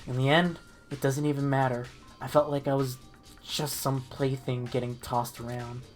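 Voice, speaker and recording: A woman reading a visual novel game aloud in an amateur dramatic style, expressive and stumbling over her words. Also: a bass voice, normal speed. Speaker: reader of the protagonist's lines in a group playthrough. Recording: music playing behind the voice. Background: game music.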